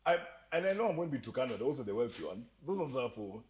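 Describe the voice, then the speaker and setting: A man with a slight Ugandan accent telling stories as a podcast guest, animated and joking, often laughing. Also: mid-range voice, fast. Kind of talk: storytelling interview chat.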